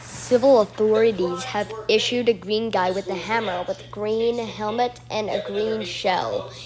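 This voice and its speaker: A woman's voice: medium pitch, measured pace, crowd noise. A woman delivering a homemade mock emergency alert as an announcer, repeating her warnings.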